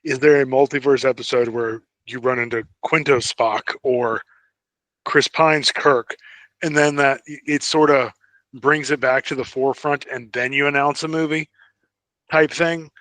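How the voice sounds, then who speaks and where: A man's voice: mid-range voice, fairly even intonation, a little slow, fairly bright tone, no echo. A man co-hosting a podcast, speculating casually in conversation. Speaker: podcast co-host. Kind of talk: casual speculative film and TV discussion.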